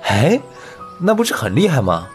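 Lively man voicing a friendly teenage schoolboy. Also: mid-range voice, normal speed, faint music behind. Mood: eager, admiring. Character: friendly, curious teenage schoolboy, openly admiring his classmate. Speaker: amateur voice actor. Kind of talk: audio comic voice acting.

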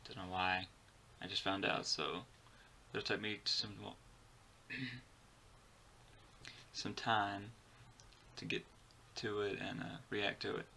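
A young man in his twenties with a bright, gravelly voice talks casually as he reacts. His delivery is halting and fragmented, and slow overall. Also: fairly low pitch, flat delivery, a little hiss or hum. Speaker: reaction video host. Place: bedroom, indoors.

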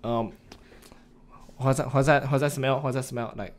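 A man's voice: mid-range voice, fairly even intonation, normal speed. Stammering young man full of slang. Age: young adult.

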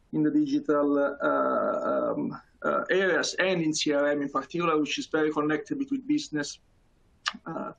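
Halting Italian man with a gravelly voice. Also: a little high, fairly bright tone, poor audio. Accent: Italian, from Naples. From Italy. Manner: halting, many fillers and repeated words. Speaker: conference speaker. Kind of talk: presentation over video call. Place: small room indoors. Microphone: video call webcam.

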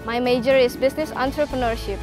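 A young woman from Indonesia reads a travel report carefully and deliberately. Her voice is a bit high. Faint music sits behind her. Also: steady tone. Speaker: student reporter. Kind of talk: scripted narration.

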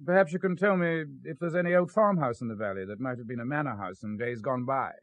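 A man's voice: mid-range voice, quick pace, no room sound, lightly acted. An actor playing an evasive, apologetic, strained married man in a radio drama, with an English accent and a polite, hesitant, clipped manner. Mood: politely curious. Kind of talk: 1970s radio drama acting.

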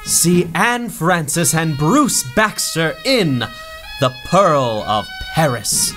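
Brief: male announcer, old-time radio, slow deliberate read, lively pitch, music underneath. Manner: dramatic, drawn-out.

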